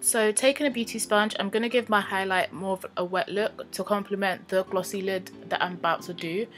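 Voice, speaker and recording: A woman talking through her makeup tutorial in a relaxed, chatty voice-over with a slightly croaky, just-woken-up sound. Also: mid-range voice, fairly quick, soft background music. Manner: relaxed, chatty, with many ums and likes. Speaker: beauty vlogger.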